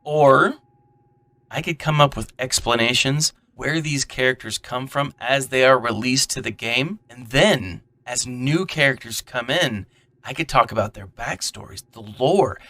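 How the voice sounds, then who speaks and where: A man's voice: medium pitch, measured pace, sharp tone. A man acting a pompous, fussy storyteller newcomer in an amateur comedy radio drama, wordy and grandiose. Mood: chatty.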